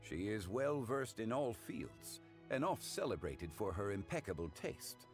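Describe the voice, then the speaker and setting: A composed, courtly game scholar, low-pitched voice, lively rising and falling intonation, formal measured phrasing, faint music underneath. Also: male voice. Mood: courteous. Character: dignified composed male scholar, formal and unhurried. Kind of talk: video game voice acting.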